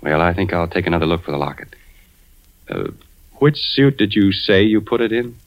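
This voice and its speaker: Troubled low-voiced husband, muffled old radio. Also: male voice, lively intonation, normal speed. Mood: probing. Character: suspicious, troubled husband and father, an insurance investigator. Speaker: actor and first-person narrator in a radio thriller. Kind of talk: 1940s radio thriller acting. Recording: muffled 1940s radio broadcast, music behind.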